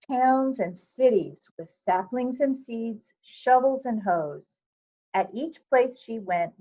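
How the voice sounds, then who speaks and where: A woman reads a picture book aloud at an unhurried pace. Her voice sits at a medium pitch, and she phrases each sentence carefully and expressively for young listeners. The sound is slightly dull and lo-fi. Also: warm tone. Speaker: volunteer reader of a children's book.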